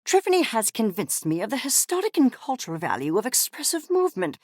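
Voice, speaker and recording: Haughty, swooping creature scientist. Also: female voice, fairly high pitch, sing-song, fairly quick, a little mellow, no echo, clean studio sound. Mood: aloof. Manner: precise, haughty, clipped academic diction. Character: pompous, obsessive fuzzy creature scientist, coldly curious and disdainful. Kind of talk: video game character voice lines.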